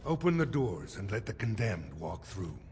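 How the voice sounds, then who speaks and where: A stern dwarf warrior in a fantasy video game. His voice is low, grave and formal, and dutiful in tone, over faint noise. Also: big pitch swings, normal speed, a little mellow. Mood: solemn.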